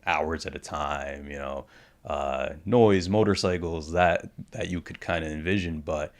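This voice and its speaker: A man in his thirties with a strikingly deep voice, born in the Dominican Republic, answers interview questions. He speaks in a relaxed, reflective way, often stopping to restart his sentences and working in "you know" as he goes. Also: unhurried. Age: 30s. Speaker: dentist, podcast guest. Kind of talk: candid conversational interview.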